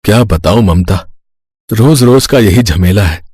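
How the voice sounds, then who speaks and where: A man's voice: fairly low pitch, expressive, unhurried, darkish tone, husky, no echo, clean studio sound. A man voicing a poor, hardworking street vendor, an earnest and plaintive family man in a Hindi moral-story cartoon. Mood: weary, resigned. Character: poor, hardworking pani puri vendor, worried earnest husband and father.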